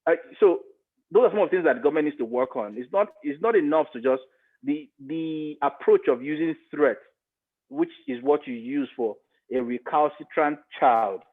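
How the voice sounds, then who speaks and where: A man's voice: on the high side, sing-song, moderate pace, creaky. Nigerian man on muffled, phone-like audio. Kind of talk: conversational podcast discussion.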